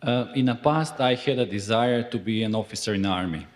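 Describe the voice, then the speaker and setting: Hesitant man from Macedonia, low voice. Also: fairly quick. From North Macedonia. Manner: hesitant, many fillers, non-native phrasing. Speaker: interviewee. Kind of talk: formal interview testimony.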